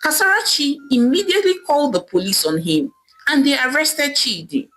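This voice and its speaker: A woman narrating a scripted folktale in an expressive, dramatic storytelling manner, with emphatic pauses. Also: high voice.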